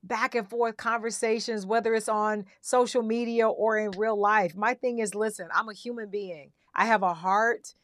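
A woman's voice: on the high side, fairly even intonation, normal speed, hardly any pauses, a bit bright, no echo. A woman talking in an outspoken, playful way in a casual chat.

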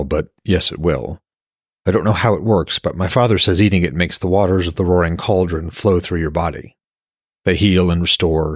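A gentle, reassuring man of Norse fantasy, acted by a male reader, speaks low and soft. He coaxes and explains patiently in a husky voice, recorded dry for an audiobook. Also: no room sound.

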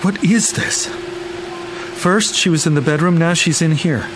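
A man's voice: a little high, slow pace, heavy background noise. An actor in a radio drama playing a bewildered, worried father of a missing little girl. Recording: radio drama with music behind.

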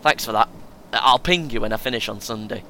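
A man's voice: fairly quick, pushed and bright. A man playing a cheeky, chatty British runner in his twenties in an audio play, with a casual, laddish manner. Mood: friendly. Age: young adult. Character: cheeky, chatty young male marathon runner, 23. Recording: clear vehicle rumble noise. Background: vehicle ambience.

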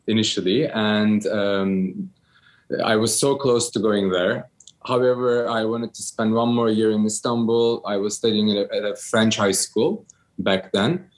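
Low-voiced Turkish-raised man in his thirties. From Turkey. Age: 30s. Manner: hesitant, many ums and restarts. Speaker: interview guest, entrepreneur. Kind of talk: casual interview answers.

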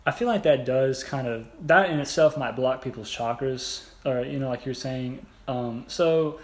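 A young adult man co-hosting a podcast in casual, halting, rambling, informal talk. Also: mid-range voice. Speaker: podcast co-host. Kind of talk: casual conversational podcast chat. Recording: echoey room, background noise.

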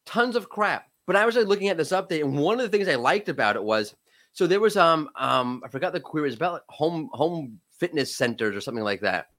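A man's voice: fairly high pitch, lively intonation, fairly bright tone. A man in his late thirties with a cold and a cracking voice, talking emphatically as an expert guest in a casual discussion. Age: 30s. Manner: emphatic, quick, frequent self-restarts. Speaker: podcast guest, SEO expert.

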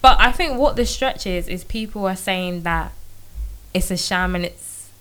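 A woman, a guest in a casual group chat, quick and emphatic and quick to push back. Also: mid-range voice, fairly bright tone, smooth. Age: 20s.

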